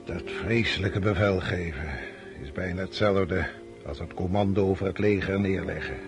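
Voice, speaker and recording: An actor in a Dutch radio drama playing a weary, brooding old Russian field marshal, speaking with deliberate authority. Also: male voice, low-pitched, music in the background. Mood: solemn resolve. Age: older.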